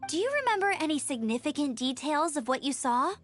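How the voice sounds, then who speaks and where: A woman voicing a calm, decisive young female adventurer in a game. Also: high-pitched, a little fast. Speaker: game protagonist. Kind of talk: game voice acting. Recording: game audio with soft music.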